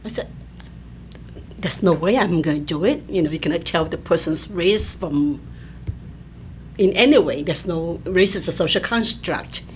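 An older woman from Malaysia, a professor being interviewed, explaining in a measured way with many fillers. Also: lowish voice. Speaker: anthropology professor, guest. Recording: faint ambient noise.

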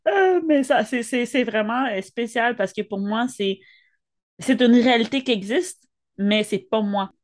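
A woman's voice: fairly high pitch, a little slow. A woman co-hosting a podcast, speaking earnestly in French with a Spanish tinge and stumbling over her first words. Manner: earnest, repeats words when starting sentences. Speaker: podcast co-host. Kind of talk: casual conversation.

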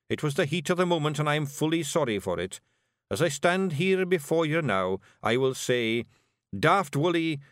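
A man voicing a boastful little male fairy clan leader with a broad Scottish accent, blustering and quick to snap. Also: on the high side, fairly bright tone, no echo, studio quality, pitched above the performer's own voice. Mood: commanding.

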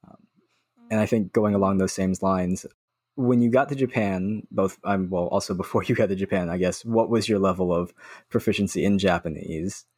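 A man co-hosting a podcast, husky, reflecting and asking long, thoughtful questions. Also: sits low, steady tone, moderate pace, darkish tone, no echo. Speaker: podcast co-host. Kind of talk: conversational interviewing.

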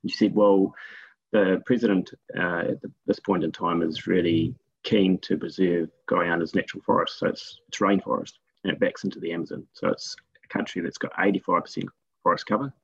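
Deep gravelly New Zealand man. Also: fairly quick, dry recording, highs cut off. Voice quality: relaxed, storytelling with many fillers. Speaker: guest. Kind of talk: casual interview talk.

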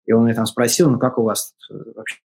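A man from Latvia giving reflective interview answers, halting with many fillers and restarts. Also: mid-range voice, fairly even intonation, vocal fry, darkish tone, slightly rough. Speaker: interview guest, project author.

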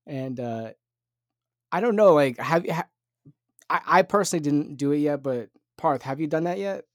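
A man's voice: on the high side, animated, moderate pace, no room sound, studio quality. A young man hosting a podcast in loose, rambling casual chat, full of fillers. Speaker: podcast host.

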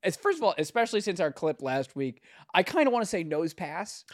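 A man's voice: high-pitched, sing-song, no echo, clean studio sound. A man from New York with a New York accent, riffing crudely and joking as a podcast co-host. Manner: crude, jokey, quick riffing. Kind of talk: casual comedic banter.

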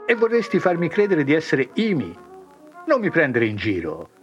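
A man voicing a cheerful, confused older ex-grocer who talks with brisk shopkeeper banter. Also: fairly high pitch, huge pitch swings, muffled recording, soft background music, pitched above the performer's own voice. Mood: jovial.

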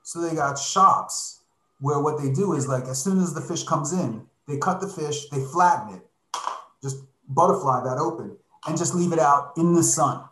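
A man in casual conversation, explaining things in a relaxed way, often adding "like" and "right?". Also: fairly high pitch, normal speed, slight background noise. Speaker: podcast guest living abroad. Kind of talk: casual chat. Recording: echoey room.

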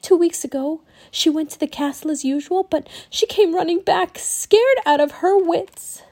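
A worried little storybook village girl, acted out by a woman. Her voice sits high, and she speaks urgently, as if warning of danger. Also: moderate pace, a little mellow. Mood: anxious warning. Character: anxious young village girl bearing warnings.

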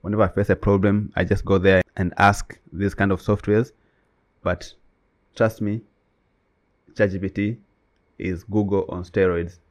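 Man, very low voice, Swahili native, emphatic casual chat, lots of "you know". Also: level tone, a little slow, pauses often. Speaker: co-host.

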